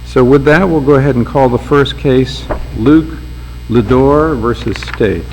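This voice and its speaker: A man serving as presiding appellate judge, opening the session and pressing counsel with measured, pointed questions in a courtroom. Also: mid-range voice. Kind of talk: formal courtroom proceedings and questioning. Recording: roomy courtroom with faint mains hum.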